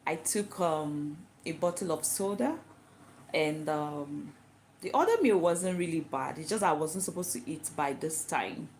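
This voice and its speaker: Nigerian woman vlogger with an Igbo first language, low-pitched voice, casual and talkative, walks through her reasons point by point. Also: measured pace, darkish tone, slight background noise. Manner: chatty and explanatory, asks herself questions and answers them. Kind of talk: casual talk to camera.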